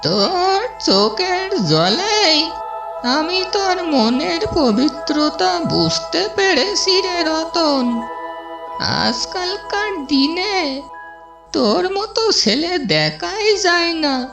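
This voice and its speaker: A woman voicing a kindly old woman of the moon in a fairy-tale cartoon, tender and drawn-out. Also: extremely high pitch, big pitch swings, creaky, over music, heavily acted.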